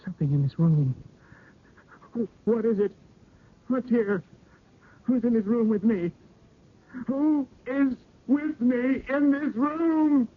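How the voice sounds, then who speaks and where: A woman playing a frightened, haunted figure in an old radio horror play, halting and full of dread. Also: a little high. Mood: rising terror. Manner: slow, halting, breathy dread. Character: frightened, haunted person sensing an unseen presence. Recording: muffled narrow-band old radio broadcast.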